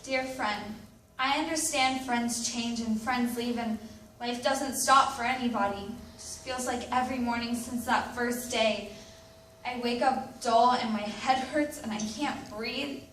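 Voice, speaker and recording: A woman's voice: fairly high pitch, measured pace, fairly bright tone. A woman acting an anxious, self-loathing teenager in a dramatic readers theatre monologue. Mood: sad, confiding. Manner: dramatic, emphatic delivery. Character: anguished, anxious, self-loathing teenager writing confessional letters. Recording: roomy, distant mic with background noise.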